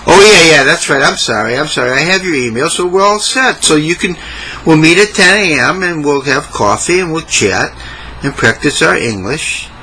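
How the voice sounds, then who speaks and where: A man teaching English in a comic monologue, speaking slowly and clearly. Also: on the high side, lively intonation, sharp tone, slight background noise, rough recording. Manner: slow, deliberate, exaggerated clarity.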